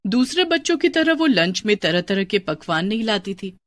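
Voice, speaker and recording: A woman's voice: mid-range voice, sing-song, moderate pace, dry recording. A woman narrating a scripted children's moral story as a storyteller.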